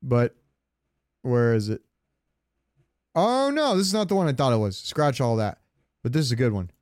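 A dry, close recording of a man with a mid-pitched voice co-hosting a podcast. He chats casually and rambles along with a fan's enthusiasm. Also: very animated, normal speed, darkish tone, no echo. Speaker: podcast co-host. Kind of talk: casual chat about games.

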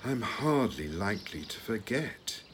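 A man acting in an audio play as a long-suffering, placid retired British husband, older, with a dry, reasoning, gently needling manner. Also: on the low side, sing-song, a little slow, slight background noise. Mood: exasperated.

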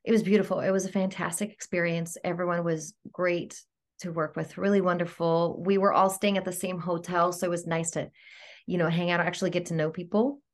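A woman from Greece, an actor, chatting in a casual podcast interview, upbeat and quick to laugh. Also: lowish voice, flat delivery. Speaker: guest actor.

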